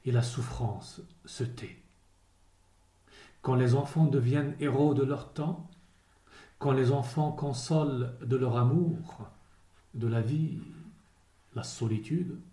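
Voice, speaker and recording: A man gives a solemn poetry recitation, grave and measured, pausing between short phrases. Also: medium pitch, mellow. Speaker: poet reading a poem. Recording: roomy, echoing voice recording.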